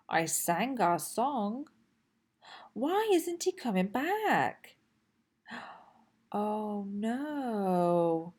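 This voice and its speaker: A woman voicing an excitable, anxious and boastful toy dinosaur in a children's storybook, with a childlike, dramatic delivery. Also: medium pitch, full character voice. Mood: worried. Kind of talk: children's storybook read-aloud.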